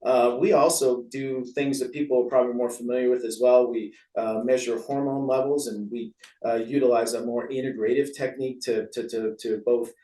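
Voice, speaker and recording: A man's voice: medium pitch, fairly even intonation, brisk, dark tone. A man with an American accent, a physician giving chatty, rambling, self-deprecating explanations as an interview guest. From San Francisco, USA. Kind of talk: casual explanatory interview talk. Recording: roomy echo, distant from mic.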